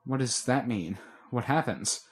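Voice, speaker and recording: A man's voice: mid-range voice, a little slow, close to an everyday voice. A man voicing Avery, an eager, practical teenage girl who asks a lot of questions. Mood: curious.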